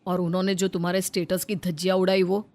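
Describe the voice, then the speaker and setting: A woman's voice: fairly low pitch, flat delivery, fast, a little mellow, a little hiss or hum, lightly acted. A woman voicing a protective, hot-tempered young husband who scolds and accuses in a melodramatic story cartoon. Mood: indignant. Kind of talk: melodramatic Hindi moral-story cartoon acting.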